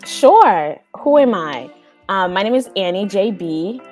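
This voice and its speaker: A woman's voice: mid-range voice, big pitch swings, unhurried, darkish tone, faint music behind. A young woman from Haiti, a polite podcast guest talking about her life with many ums. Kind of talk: casual interview.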